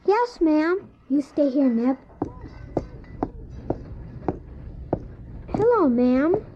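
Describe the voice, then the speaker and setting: A very high, young voice playing a polite, honest, lonesome orphan newsboy in an audio drama. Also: sing-song, takes it slowly, heavily acted. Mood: polite, eager. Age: child character. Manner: earnest, plain-spoken, polite. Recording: dull, noisy old cassette tape.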